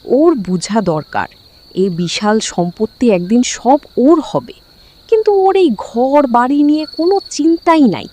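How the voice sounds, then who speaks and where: A woman's voice: a little high, animated, measured pace, warm tone. A woman playing a greedy, nagging, scheming wife in a cartoon, complaining and conspiratorial. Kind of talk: cartoon dialogue.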